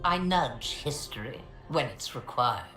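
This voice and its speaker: A woman's voice: rumbling low, expressive, unhurried, bright, forceful tone, heavily acted. An older woman playing an ancient, commanding witch in a video game, theatrical, with grand and archaic phrasing. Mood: wry, knowing. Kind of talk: dramatic video game acting. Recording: roomy reverb with music behind.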